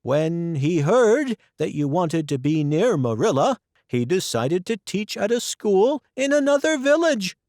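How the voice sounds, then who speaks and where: A newsy, emphatic older village woman from a storybook, voiced by a man. The pitch lifts and falls in a lively way over a gravelly texture, recorded dry and close. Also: unhurried, hardly any pauses, no echo, exaggerated voice. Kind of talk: storybook reading.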